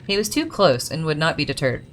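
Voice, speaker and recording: A woman's voice: sits low, very animated, fairly quick, a bit bright, a little hiss or hum. A woman gaming creator reading game lore aloud, breaking off for chatty asides about perks and pronunciation. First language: English.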